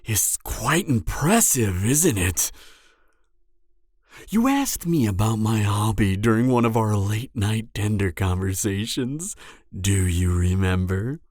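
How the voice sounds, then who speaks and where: Male actor as gloating cannibal serial killer, audio drama. Pompous and theatrical, unhurried delivery, wildly varied intonation. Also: medium pitch, a little mellow, no echo, clean studio sound. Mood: giddy, excited, then sinister, savoring. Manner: theatrical, drawn-out phrasing with frequent chuckling. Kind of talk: roleplay audio drama monologue to the listener.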